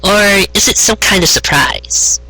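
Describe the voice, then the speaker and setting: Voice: mid-range voice, animated, a little slow, bright, forceful tone, husky, no room sound, voice pushed up, heavily acted, rough recording. A storyteller voicing a proud, self-important young female unicorn official with a pompous, assertive manner. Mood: curious.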